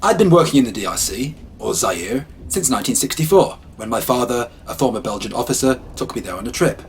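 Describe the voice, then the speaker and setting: A man telling first-person scary stories as scripted narration, hushed and ominous at times, livelier at others. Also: medium pitch, expressive, brisk, slight background noise. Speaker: storyteller. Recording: faint rain ambience behind.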